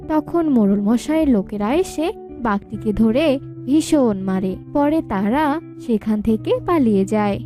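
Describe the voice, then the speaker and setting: High-voiced woman narrating a children's story. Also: mellow. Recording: music under the voice. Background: music.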